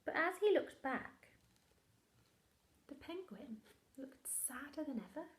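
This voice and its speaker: A woman reading a picture book aloud to young children like a primary teacher, in an expressive, deliberate way with hushed asides. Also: high voice, reverberant.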